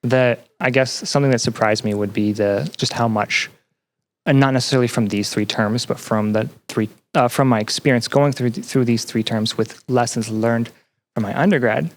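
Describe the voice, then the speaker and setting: A man's voice: medium pitch, fairly even intonation, moderate pace. Self-deprecating young man in casual conversation. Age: young adult. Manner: self-deprecating, hesitant, reflective. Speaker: podcast guest, student representative. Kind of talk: casual interview chat.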